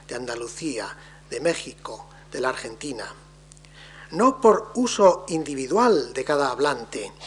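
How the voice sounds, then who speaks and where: Guy lecturing on language, slightly high voice, husky, slow careful phrasing that fades at the ends. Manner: measured, deliberate delivery with trailing soft endings. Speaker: lecturer on linguistics. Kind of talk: formal academic lecture.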